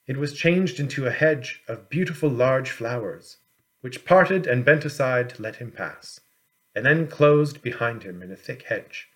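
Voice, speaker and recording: A man narrating a storybook as an audiobook reader, in a measured, expressive storytelling manner. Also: mid-range voice.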